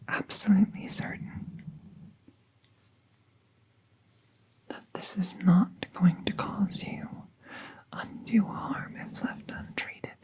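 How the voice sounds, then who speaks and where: A woman playing a kind, soothing magical veterinarian in a whispered ASMR roleplay, gentle and measured as she reassures a patient. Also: mid-range voice, fairly even intonation, rough recording. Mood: careful, apologetic. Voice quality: soft, breathy whisper.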